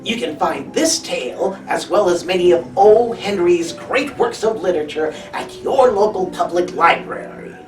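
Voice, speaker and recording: A woman plays a macabre horror hostess, teasing and theatrical, in a lowish voice. She sounds distant in an echoey room, with guitar music behind her. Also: animated, moderate pace, few pauses, a little mellow, natural voice. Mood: darkly playful. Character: playful macabre female horror host. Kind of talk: scripted horror-host presenting. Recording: roomy echo, distant mic.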